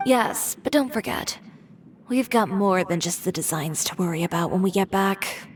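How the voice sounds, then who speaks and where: A woman's voice: medium pitch, animated, moderate pace, a little hiss or hum, lightly acted. An actress playing a proud, determined young female designer with a dry, self-assured, decisive manner in a video game. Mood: brisk, confident. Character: confident, determined young woman fashion designer, proud and brisk. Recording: music behind the voice.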